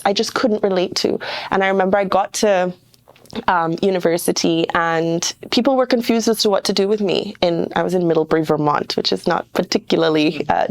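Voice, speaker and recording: A middle-aged woman from Trinidad, a lawyer speaking as a guest in a podcast interview, talking thoughtfully and restarting her sentences often. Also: lowish voice. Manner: thoughtful, with frequent fillers and restarts.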